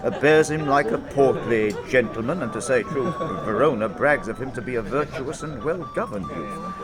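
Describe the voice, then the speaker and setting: A man's voice: expressive, natural voice. An actor playing a jovial, blustering old nobleman who is hosting a feast, in a Shakespearean audio drama. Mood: calmly firm. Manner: expansive, booming, chuckling, quick to scold. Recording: loud music behind the voice.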